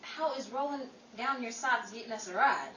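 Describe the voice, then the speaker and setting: An actress playing a long-suffering, sharp-tongued wife in a 1940s-style radio comedy, scolding and quick with dry comebacks. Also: female voice, a little high, natural voice. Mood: alarmed. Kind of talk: 1940s old-time radio comedy acting. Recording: echoey, distant, very noisy recording.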